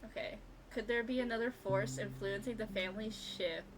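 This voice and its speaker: A woman giving casual, excitable, sweary live commentary as she streams a game. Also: mid-range voice, normal speed, background noise. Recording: game music behind.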